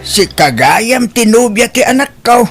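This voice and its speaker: A man's voice: extremely high pitch, very animated, a little slow, over music, full character voice. A man acting a jovial, welcoming older father in an audio drama.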